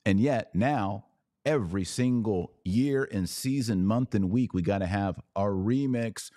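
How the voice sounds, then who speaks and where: A man's voice: fairly low pitch, measured pace, warm tone, no echo, studio quality. A man hosting a live podcast, reading viewer comments and giving emphatic, opinionated commentary with rhetorical questions. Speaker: podcast host.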